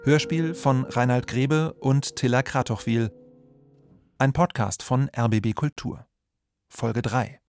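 Slow-paced male announcer over music. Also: mid-range voice, fairly even intonation, darkish tone. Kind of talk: scripted title announcement. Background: guitar and string music.

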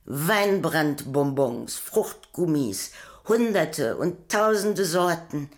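A woman's voice: deep voice, expressive, takes it slowly. A woman narrating a poetic radio play in a scripted literary style.

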